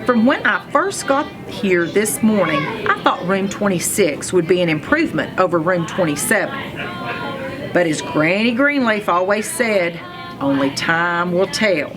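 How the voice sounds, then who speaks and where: A woman reading a children's storybook aloud in an expressive, animated storytelling manner, telling it as a first-person narrator. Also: fairly low pitch, measured pace, over music. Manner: animated storytelling with acted sound effects.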